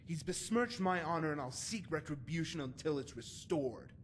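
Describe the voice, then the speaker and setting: A man acts out a haughty, prickly bard from a fantasy video game. His voice sits slightly high for a man, and he speaks in florid, pompous phrases full of scorn. A faint rumbling noise runs underneath. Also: animated, normal speed. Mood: indignant. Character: haughty, prickly, wounded-pride male poet and bard. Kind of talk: scripted fantasy game dialogue.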